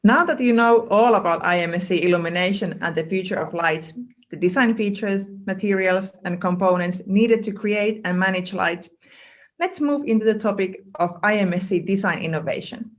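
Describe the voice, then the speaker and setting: Casting: woman host, mid-pitched voice, polished scripted intro to a speaker. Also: moderate pace. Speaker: event host.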